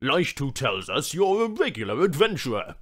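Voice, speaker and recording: A man's voice: mid-range voice, very animated, normal speed, fairly bright tone, voice pushed up. A man voicing an eager, rambling, sycophantic corporate employee in an audiobook story. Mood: chatty. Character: chatty, sycophantic male office suit.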